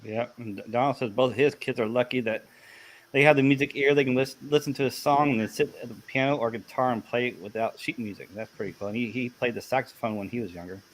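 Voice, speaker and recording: A man hosting a casual chat, relaxed and a bit hesitant with fillers. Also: mid-range voice, fast talker, poor audio. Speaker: host.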